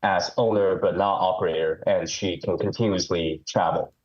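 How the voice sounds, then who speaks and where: A man's voice: low-pitched, steady tone, normal speed, highs cut off. A man calling in to a live show, speaking hesitantly with many ums and restarts, with a head cold. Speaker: caller. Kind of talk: call-in chat.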